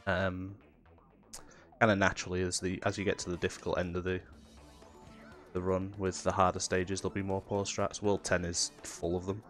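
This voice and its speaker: Nasal low-voiced man with a cold. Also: normal speed, soft background music. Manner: relaxed, explanatory, with fillers. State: has a cold. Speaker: speedrunner commentating his own run. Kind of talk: casual technical commentary.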